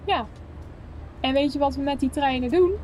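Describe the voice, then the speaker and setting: A woman's voice: high voice, normal speed, darkish tone, lightly acted. A young woman playing an idealistic, chatty sister in naturalistic, conversational radio play dialogue. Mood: teasing, sure. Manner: conversational, earnest. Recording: faint station ambience noise.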